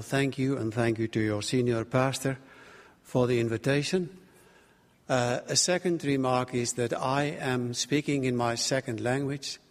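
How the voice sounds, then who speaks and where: A man with a South African Afrikaans accent, a native Afrikaans speaker, preaching as a guest in deliberate, pausing phrases. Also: mid-range voice. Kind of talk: sermon with prayer and scripture reading. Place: large reverberant room. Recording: echoey hall with long reverb.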